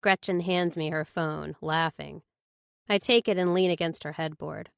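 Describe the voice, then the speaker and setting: A woman's voice: deep voice, fairly even intonation, normal speed, vocal fry, clear, resonant tone, dry recording, studio quality. A woman reading scripted first-person thriller narration as an audiobook narrator.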